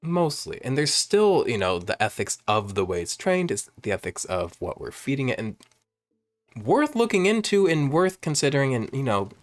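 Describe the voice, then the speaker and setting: A man in his late twenties chatting casually in a vlog, rambling and jumping up in pitch when excited, filmed on a phone at home. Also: normal speed, soft background music. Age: 20s. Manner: rambling, animated, swings high when excited. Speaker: vlogger. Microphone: phone camera.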